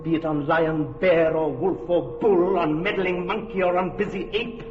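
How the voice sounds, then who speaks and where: An actor playing a commanding male fairy king in a classic radio drama, speaking verse grandly and dropping to a sly hush. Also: fairly high pitch, fairly even intonation, normal speed, close to an everyday voice. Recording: muffled old radio play with long reverb and music.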